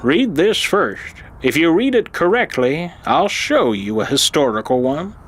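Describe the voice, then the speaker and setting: Irascible old uncle, acted by a man, slightly high voice, slow halting delivery, urgent and desperate, audio drama. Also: sing-song, full character voice. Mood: agitated. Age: older. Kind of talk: dramatized audio fiction acting.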